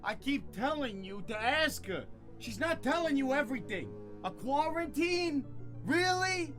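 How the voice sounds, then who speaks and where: An actor playing a taunting, pleading brother in an audio drama, crude and wheedling. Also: male voice, very high voice, animated, a little slow, a bit bright, faint music behind, exaggerated voice. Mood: irritated. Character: Lenny, eerie, taunting, pleading brother outside a door.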